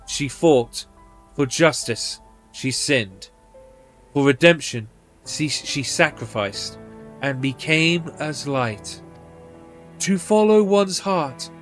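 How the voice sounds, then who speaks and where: Guy doing a proud old dragon from a fantasy game, solemn n regal, archaic talk, bit of music. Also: on the high side. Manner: formal archaic speech, stately.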